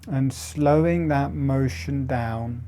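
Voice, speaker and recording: Slow, soft-spoken man guiding meditation. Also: mid-range voice, a little hiss or hum. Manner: slow, soft, halting phrases with long pauses. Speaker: meditation teacher. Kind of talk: guided breathing meditation.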